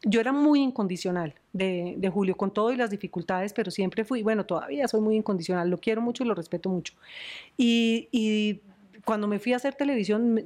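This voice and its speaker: Colombian woman telling stories, laughing often. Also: mid-range voice. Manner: animated, laughs often, storytelling. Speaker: journalist and news presenter. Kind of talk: podcast interview.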